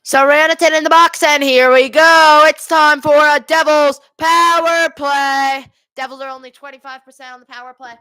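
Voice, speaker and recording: A woman's voice: high-pitched, steady tone, moderate pace, hardly any pauses, pushed and bright, no echo. A woman doing live amateur hockey play-by-play and casual chat as host of a watch party, speaking into a headset.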